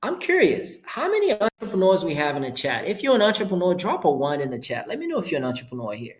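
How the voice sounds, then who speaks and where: A middle-aged man from Haiti, a Creole speaker, gives an informal, upbeat motivational webinar pitch in short punchy phrases. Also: huge pitch swings, fast talker. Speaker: entrepreneur hosting an online presentation. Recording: roomy, echoing sound.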